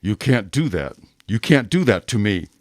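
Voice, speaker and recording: A man's voice: on the low side, sing-song, normal speed, dry recording, clean studio sound. A man playing a rigid, bitter police inspector in a period audio drama, speaking in a clipped, emphatic, formal way. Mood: agitated, insistent. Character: rigid, self-punishing male police inspector, stern and bitter. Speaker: actor. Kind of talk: radio-style period drama acting.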